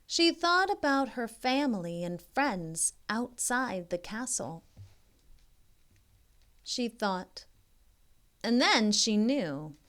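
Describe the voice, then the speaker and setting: A woman reading a children's story aloud as a tutor, expressively and deliberately, with informal, encouraging asides to young listeners. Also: fairly high pitch, sing-song, fairly bright tone. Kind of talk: modeled children's read-aloud with chatty asides.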